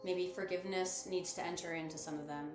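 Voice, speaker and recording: A woman's voice: lowish voice, steady tone, fairly quick. Woman guiding a meditation in roomy echo. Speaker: guided meditation host and sound bath guide. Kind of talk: guided meditation narration. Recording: roomy echo with music behind. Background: music.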